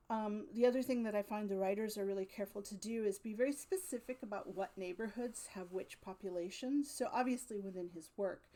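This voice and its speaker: A woman's voice: on the low side, dark tone. A woman giving casual, unscripted commentary as a video host, thinking aloud with wry asides. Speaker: video host reviewing old radio drama.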